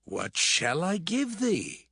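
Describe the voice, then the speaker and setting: A man playing a wheedling biblical patriarch in a dramatised audio Bible, slow and courteous in delivery. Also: high voice, wildly swooping pitch, gravelly, bright, forceful tone, breathy edge, no echo, clean studio sound, heavily acted. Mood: entreating. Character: Laban, wheedling biblical patriarch and master of flocks.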